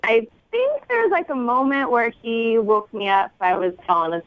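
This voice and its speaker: A woman's voice: a little high, expressive, normal speed, over a noisy crowd. A young woman phoning in, chatting casually in a girlish, filler-heavy way. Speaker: phone-in caller. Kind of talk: casual chat. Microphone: phone. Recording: dull, lo-fi phone line.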